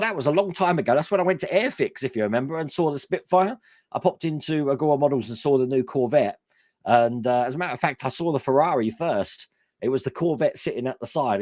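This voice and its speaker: A man hosting a casual live stream, his voice hoarse and worn, chatting enthusiastically with lots of fillers. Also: medium pitch, a little mellow, no room sound, clean studio sound. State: hoarse, voice going.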